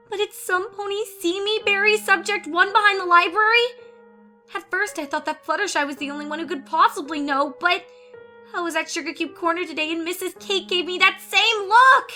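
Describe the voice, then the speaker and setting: High, anguished young female pony. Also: exaggerated voice. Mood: paranoid. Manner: anguished, highly emotional, swinging from hushed to screaming. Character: guilt-ridden, paranoid young female pony student unraveling into madness. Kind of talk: dramatic reading of confessional letters with music.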